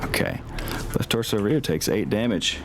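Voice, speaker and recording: Deep-voiced man running a tabletop game. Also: animated, normal speed, over music. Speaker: game master of a tabletop mech campaign. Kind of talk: casual table talk with some narration.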